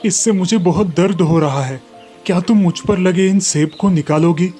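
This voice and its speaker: A man's voice: high voice, normal speed, mellow, soft background music, close to an everyday voice. A man voicing a weary, grateful apple tree in a fairy tale, kindly, fatherly and pleading.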